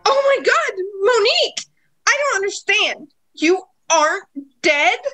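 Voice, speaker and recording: Actress as jealous lady thief in a radio drama, super high sharp voice, very melodramatic. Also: big pitch swings, measured pace, soft background music, exaggerated voice, poor audio. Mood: shocked. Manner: melodramatic, emphatic. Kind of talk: radio play acting.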